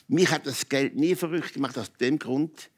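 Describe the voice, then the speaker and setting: An older man with a Swiss German accent, telling personal stories as a talk show guest in emphatic, halting phrases with repetitions. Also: mid-range voice. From Switzerland. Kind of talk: candid personal storytelling in conversation. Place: TV talk show studio with audience.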